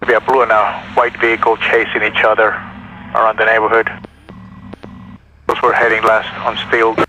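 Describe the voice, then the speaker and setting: A man's voice: on the low side, monotone, moderate pace, bright, forceful tone. A man speaking in clipped police radio traffic, heard over a two-way radio. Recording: noisy police scanner radio transmission.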